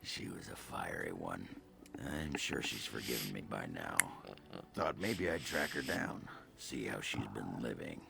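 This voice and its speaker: An actor playing a gruff, crude but bashful dwarf warrior in a fantasy game, with a gravelly voice and halting, folksy delivery. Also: male voice, a bass voice, very animated, heavily acted. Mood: crude, fond. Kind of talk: video game character dialogue.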